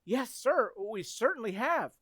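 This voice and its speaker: A man's voice: extremely high pitch, very animated, measured pace, fairly bright tone, slightly rough, dry recording, clean studio sound, exaggerated voice. A man playing an earnest, flustered, polite teenage boy in old-time radio comedy, hesitant and stammering. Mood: polite, relieved. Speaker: community theater actor.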